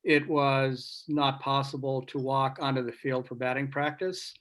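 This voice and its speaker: A man's voice: medium pitch, flat delivery, measured pace, background noise. A man speaking casually and reflectively on a video call, as a sports writer would on a panel. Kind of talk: casual video-meeting talk.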